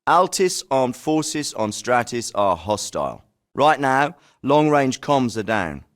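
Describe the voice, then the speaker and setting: An actor voicing a calm, authoritative male special forces commander, giving military briefings in a measured, clipped way. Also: mid-range voice. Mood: commanding. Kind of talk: video game voice acting. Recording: clean studio voice recording.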